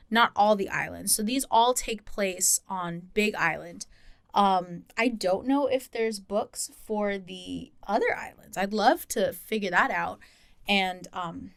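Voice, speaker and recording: A woman from Hawaii co-hosts a podcast in an informal, chatty voice. She explains things conversationally at a middling pitch. The sound is dry, with very little room to it. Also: dry recording. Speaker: podcast co-host. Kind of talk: casual podcast chat.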